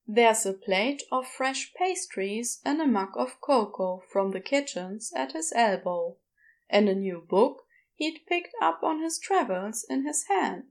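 A woman narrating scripted fiction in a measured, wry storytelling manner. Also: on the high side, gravelly.

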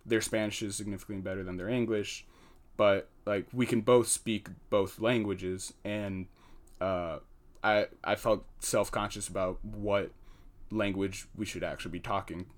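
A young American man, an engineering student, answering podcast questions in an earnest, hesitant way with many pauses and fillers. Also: fairly low pitch, steady tone. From United States. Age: young adult.

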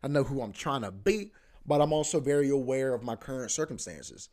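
Young male podcast host, rambling and profane, filler-heavy, mid-pitched. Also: big pitch swings, fairly quick, darkish tone. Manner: loose and profane, heavy filler like "bro" and "you know what I mean"; repeats himself, often restarts. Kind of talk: casual rambling monologue.

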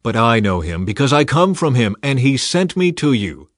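A man voicing Jesus as a calm, authoritative male teacher who speaks slowly and emphatically. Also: medium pitch, dry recording, studio quality, lightly acted. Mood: earnest. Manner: earnest, slow and emphatic.

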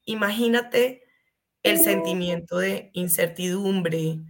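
Lower-pitched Colombian woman, emphatic explainer. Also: slow pace, noisy background. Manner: emphatic, illustrates with rhetorical questions. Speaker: guest expert, HR consultant. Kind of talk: conversational explanation in an interview.

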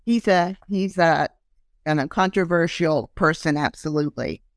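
A woman's voice: sits low, normal speed, no room sound, clean studio sound. A woman hosting a podcast in casual interview chat, talking loosely with many ums and fillers. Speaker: podcast host.

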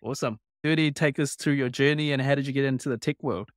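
A man's voice: mid-range voice, quick pace, dry recording, studio quality. A man hosting a podcast who greets guests and asks questions in an upbeat, friendly way. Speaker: podcast host. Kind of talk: casual interview.

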